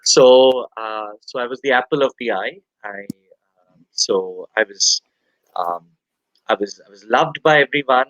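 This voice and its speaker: A man from India in his forties, speaking candidly as an interview guest, halting and soft-spoken with many pauses and fillers. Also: medium pitch, no room sound, lo-fi sound.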